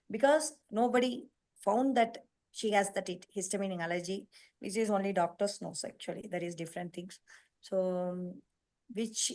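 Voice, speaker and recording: A woman hosting a nutrition program on a video call, talking in a halting, repetitive way with emphatic lists. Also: medium pitch. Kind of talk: casual online meeting talk.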